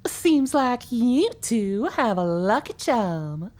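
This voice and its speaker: A laid-back unicorn sheriff voiced by a woman for an audio drama. She is wry and amused, talking slowly on the higher side with a lilting, varied pitch. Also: big pitch swings, a little mellow, full character voice. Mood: wry, unhurried. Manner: unhurried, wry.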